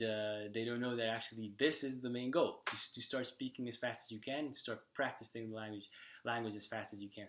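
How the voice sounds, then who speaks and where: A young man whose first language is Slovak, talking to camera in a casual vlog about learning languages, pausing often with ums and restarts. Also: mid-range voice, a bit bright. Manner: thoughtful, lots of ums and restarts.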